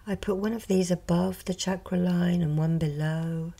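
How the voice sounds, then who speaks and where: A middle-aged woman, a reiki healer, speaking softly and slowly in a partly whispered show and tell, a little hoarse from a cold. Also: lowish voice, fairly even intonation. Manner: slow, soft, partly whispered. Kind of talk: soft-spoken ASMR show and tell. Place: indoors at a table. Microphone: close mic over a tabletop.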